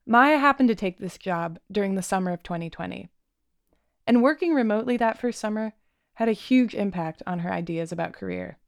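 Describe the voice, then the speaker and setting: A woman reporter giving scripted narration of a feature story in a measured storytelling manner. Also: mid-range voice, expressive, gravelly, no room sound, studio quality.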